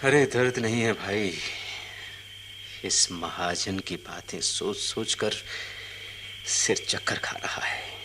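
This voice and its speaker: An actor in a radio drama playing a simple, troubled elephant-catcher, plaintive and halting, often repeating his phrases. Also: fairly low pitch, noisy background. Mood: troubled, restless.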